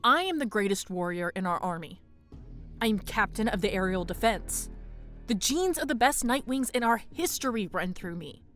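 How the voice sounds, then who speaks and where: A woman's voice: mid-range voice, lively intonation, normal speed, a bit bright, faint music behind, close to an everyday voice. A woman playing a proud dragon warrior captain and young mother in an audio drama, defiant, earnest and resolute. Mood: proud pleading.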